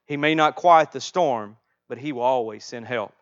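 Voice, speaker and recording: A man's voice: medium pitch, normal speed, fairly bright tone, dull audio, close to an everyday voice. A man voicing kindly, concerned villagers in a children's storybook, speaking earnestly.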